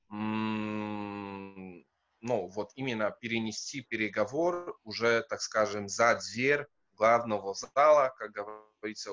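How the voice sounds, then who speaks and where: Non-native professor on a muffled video call. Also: male voice, fairly low pitch, a bit bright. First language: not Russian. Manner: hesitant, many fillers and restarts. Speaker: professor, guest. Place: wood-panelled room indoors.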